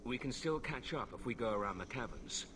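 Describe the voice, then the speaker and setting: An actor playing a grieving middle-aged knight officer in a video game, speaking in a grave, measured way. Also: male voice, medium pitch, expressive, noisy background, natural voice. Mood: stern.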